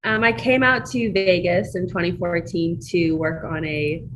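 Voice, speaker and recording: A woman's voice: fairly low pitch, steady tone, unhurried. A woman talking casually as a guest in an interview. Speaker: interview guest, marketing manager. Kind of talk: casual conversational interview talk. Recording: noisy background ambience.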